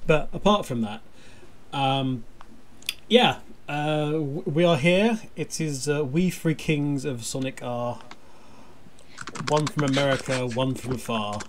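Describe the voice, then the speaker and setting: A man's voice: mid-range voice, slow pace. Wry man chatting, noisy recording. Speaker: podcast host. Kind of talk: casual chat.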